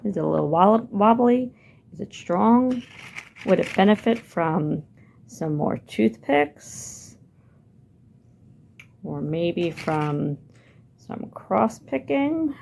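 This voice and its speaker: Lady librarian walking kids through a candy building craft, slow and gravelly, lower voice, keeps asking questions. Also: expressive, darkish tone, a little hiss or hum. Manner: encouraging, asks the viewer many questions. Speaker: children's librarian. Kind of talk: hands-on craft lesson for kids.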